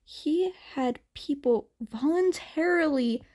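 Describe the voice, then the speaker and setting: A woman reading fanfiction aloud as a narrator in a soft, unhurried way. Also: high voice, lively intonation. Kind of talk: amateur audiobook narration.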